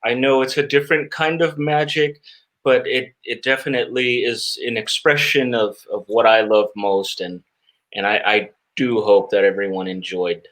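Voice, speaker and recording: A man's voice: mid-range voice, fairly even intonation, moderate pace, a bit bright. Earnest, reflective man as interview guest. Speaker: interview guest, performing magician.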